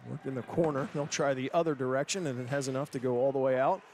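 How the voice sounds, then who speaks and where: A man calling hockey play-by-play, with quick, excited calls that rise to shouts. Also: medium pitch, slight background noise. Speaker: play-by-play announcer. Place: ice rink broadcast booth. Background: loud arena music.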